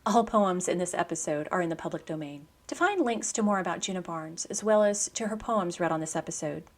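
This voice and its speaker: A woman hosting a poetry program, reading scripted commentary and verse in a measured, literary way. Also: lowish voice, animated.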